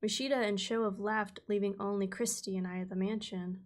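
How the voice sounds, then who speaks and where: A woman voicing a young male investigator who narrates his own story, reading in a steady, solemn way with a lowered voice. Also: a little fast, natural voice.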